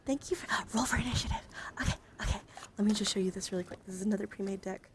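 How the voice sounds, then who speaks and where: A woman livestreamer talking in a casual, rambling ASMR style, with a soft voice that often slips toward a breathy whisper, close to the microphone. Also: medium pitch, very fast, slight background noise. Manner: rambling, informal. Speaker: livestreamer showing card decks.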